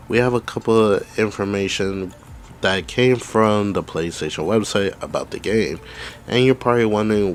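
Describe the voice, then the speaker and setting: A man's voice: low-pitched, slight background noise. A man hosting a gaming channel, coming down with the flu, casually reading out game details and commenting, with stumbles and restarts. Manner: reads text aloud with stumbles and restarts.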